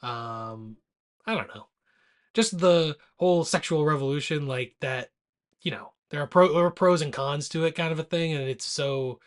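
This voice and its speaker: A man's voice: a little high, moderate pace, clear, resonant tone. A man co-hosting a podcast, discussing movies informally and rambling as he corrects himself mid-sentence. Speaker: podcast co-host.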